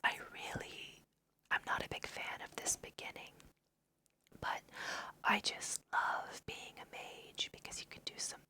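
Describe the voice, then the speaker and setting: A woman whispering softly and unhurriedly in an ASMR style as she guides listeners through a video game, mixing read-aloud text with casual asides. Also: a little slow. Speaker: host of a video game let's play.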